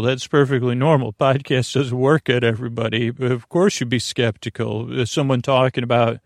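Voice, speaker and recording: A man hosting a sleep podcast in a meandering, halting monologue, often losing his thread. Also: medium pitch, creaky, no room sound, studio quality. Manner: meandering, halting, loses his train of thought. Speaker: podcast host.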